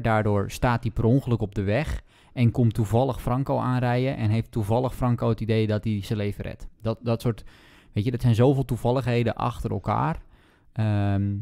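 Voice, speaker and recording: A man co-hosting a book podcast in casual conversation, talking in a halting way and rephrasing as he goes. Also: fairly low pitch, gravelly, warm tone.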